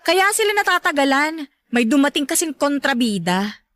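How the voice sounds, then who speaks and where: A woman playing a brisk, chirpy young friend in a Filipino radio drama. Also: high voice, sing-song, fairly bright tone, no echo. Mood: dismissive.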